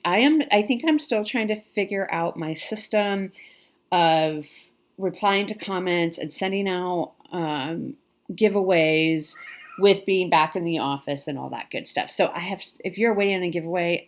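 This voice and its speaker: A middle-aged woman with a congested voice, chatting casually to her cross stitch channel with many ums and restarts. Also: on the low side. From Pacific Northwest US. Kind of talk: casual chat to camera.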